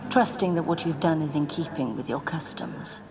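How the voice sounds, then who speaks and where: An actress playing an aloof, sombre spirit servant in a video game, speaking in formal, measured, old-fashioned phrases. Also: female voice, low voice, background noise. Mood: quietly solemn. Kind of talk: video game cutscene acting. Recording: music under the voice.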